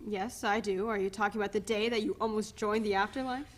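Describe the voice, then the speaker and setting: A woman's voice: mid-range voice, fairly even intonation, fast talker, lightly acted. A woman playing a bitter, devout condemned prisoner, a family man, in a dramatic play reading, earnest and reproachful. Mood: subdued.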